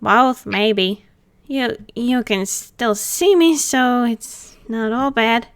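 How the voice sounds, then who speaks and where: A woman's voice: a little high, slow pace, clean tone. A woman voicing a giggly, cheeky male spirit with chatty, slangy delivery in an amateur cartoon roleplay. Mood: subdued, wistful. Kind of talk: amateur roleplay read-aloud of a cartoon character.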